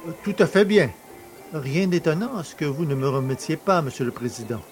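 An actor playing a cheeky, boastful poacher fresh from prison in an audio drama, familiar, mocking and self-pitying. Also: male voice, a little high, lively intonation, brisk, soft background music, close to an everyday voice. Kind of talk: French audio drama acting.

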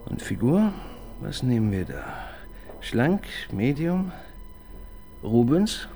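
An actor playing an eager, pedantic police assistant in a radio crime drama, prone to hesitant restarts. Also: male voice, medium pitch, very animated, slow pace, creaky, mellow, slight background noise, heavily acted.

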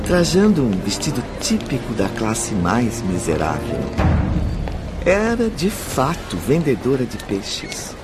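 A man narrating a radio drama in an expressive, storytelling manner. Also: mid-range voice.

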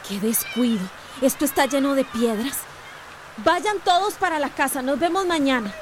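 A woman's voice: high-pitched, normal speed, natural voice. A woman acting an earnest, impatient schoolteacher in a radio drama. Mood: alarmed, urgent. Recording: faint background noise.

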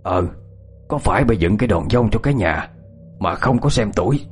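A man voicing an older village healer in a storytelling, gruff and commanding in a folksy way but kindly. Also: lowish voice, lively intonation, moderate pace, faint music behind, close to an everyday voice. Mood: grave, chiding. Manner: folksy, commanding, scolding elder.

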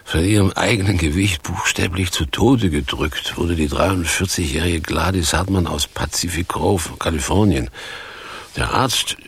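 An actor playing a theatrical tramp, a washed-up stage actor, slow and declamatory with a husky, creaky voice in a radio drama. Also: male voice, low voice, heavily acted. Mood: deadpan reading. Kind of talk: 1980s German radio drama acting.

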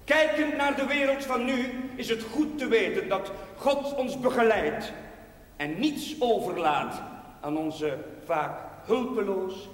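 An actor playing a devout village priest in a television drama, preaching solemnly in measured, emphatic cadences. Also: male voice, extremely high pitch, expressive, full character voice. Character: devout male village priest bidding his parish farewell. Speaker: parish priest. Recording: echoey church hall reverb, background hiss.

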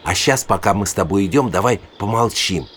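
A man's voice: lowish voice, fairly quick, background noise, close to an everyday voice. A man playing a kindly, patient nature guide teaching a boy in a children's educational audio play. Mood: kindly, instructive. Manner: patient, instructive, storytelling. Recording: voice over clear birdsong ambience. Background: forest birdsong, cooing.

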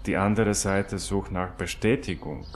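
A middle-aged man giving a reflective personal account as an interviewee, in a halting, pensive way. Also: low voice.

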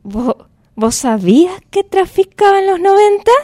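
A woman playing an animated, excitable character in an improvised radio theatre exercise, speaking haltingly. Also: very high voice, no echo, studio quality, heavily acted. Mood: questioning, animated.

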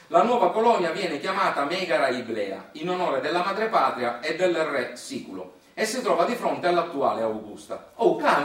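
A man's voice: a little high, fairly bright tone. Echoey, distant Sicilian man storytelling. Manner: folksy, colloquial with dialect words. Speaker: stage storyteller. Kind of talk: theatrical monologue. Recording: very echoey room, distant mic.

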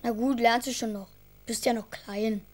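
Woman as little kid boy, highish voice, chatty n earnest, kids audio drama. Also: steady tone, normal speed, a little mellow, close to an everyday voice. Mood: patient. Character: young boy Elias, small, imaginative, earnest, caring. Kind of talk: children's radio drama acting.

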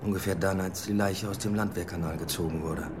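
An actor playing a probing 1920s Berlin police inspector in a radio play, with dry, clipped delivery. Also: male voice, low-pitched, fairly even intonation, a little fast, slight background noise, close to an everyday voice. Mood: questioning. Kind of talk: German radio play acting.

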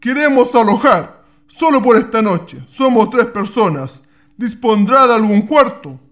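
A man's voice: very high voice, animated, measured pace, fairly bright tone, exaggerated voice. A Chilean man acting an earnest young intellectual adventurer in a radio drama. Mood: weary, polite.

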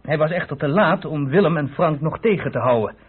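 A police inspector in a radio drama, lively and rather high in pitch. He lays things out patiently in a husky voice, on a narrow, phone-like recording. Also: fairly quick. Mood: explanatory. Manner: explanatory storyteller, chuckling asides. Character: police inspector patiently explaining a diamond theft. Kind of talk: Dutch radio play acting.

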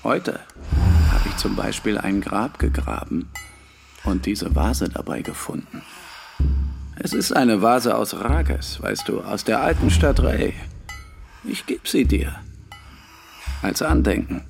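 Eerie old gravedigger, creaky and slow. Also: male voice, on the low side, sing-song, full character voice. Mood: chummy, uncanny. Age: older. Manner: folksy, insinuating, clipped phrases with tag questions. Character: eerie, chummy old gravedigger and hearse driver. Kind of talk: radio play dialogue. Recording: loud music behind.

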